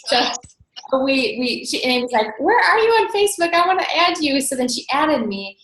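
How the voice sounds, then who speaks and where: A woman's voice: sits high, very animated, moderate pace, a bit bright. An American woman from North Dakota hosting an informal webinar, chatty and enthusiastic, recorded indoors over an online call. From North Dakota, USA. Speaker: webinar host and team coach. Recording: roomy echo.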